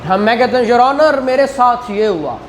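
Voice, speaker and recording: A man's voice: very high-pitched, lively intonation, normal speed. A man with a Pakistani accent lecturing as a law teacher, reading statute and explaining it step by step, often checking that listeners follow. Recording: clear background noise in the room.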